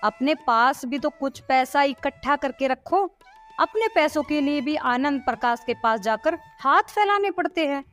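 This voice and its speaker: A high, nagging wife from a cartoon moral tale, acted out by a woman. She is worried and insistent, pressing her point in a scolding way. Music plays clearly behind her. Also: animated, unhurried, fairly bright tone. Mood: nagging, reproachful.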